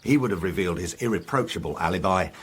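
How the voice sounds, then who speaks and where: A man playing a sober, plain-spoken British police inspector in a radio drama, with a measured, matter-of-fact delivery. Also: deep voice, expressive, breathy edge.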